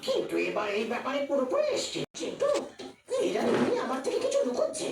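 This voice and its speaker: A woman voicing a suspicious, excitable young donkey in a children's cartoon, dramatic and whiny. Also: very high-pitched, heavily acted. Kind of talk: exaggerated children's cartoon dubbing. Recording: echoey, distant, loud music underneath.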